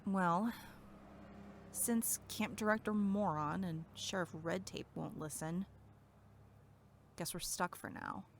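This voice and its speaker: A woman acting as a sharp-tongued, sarcastic young camp counselor in an audio drama. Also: on the low side, slow pace, long pauses, a little hiss or hum. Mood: exasperated, sarcastic.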